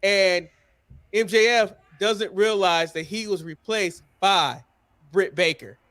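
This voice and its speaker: A man's voice: sits high, takes it slowly, fairly bright tone. A man hosting a wrestling podcast, giving opinionated recaps in a blunt, emphatic and sometimes profane way. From United States.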